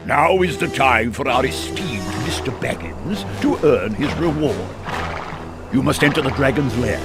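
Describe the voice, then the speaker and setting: A proud dwarf leader in a fantasy game. He declaims formally in a creaky voice with plenty of rise and fall. The manner is stern and pompous. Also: medium pitch. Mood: commanding, wry. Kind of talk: video game voice acting.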